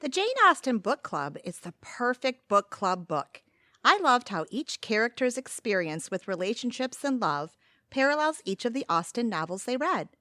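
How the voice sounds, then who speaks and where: A woman's voice: fairly high pitch, sing-song, normal speed, bright, forceful tone, no room sound, clean studio sound. A woman playing a friendly, wisecracking confidante in an audio comedy, with faint noise behind. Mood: chatty. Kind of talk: audio comedy drama acting.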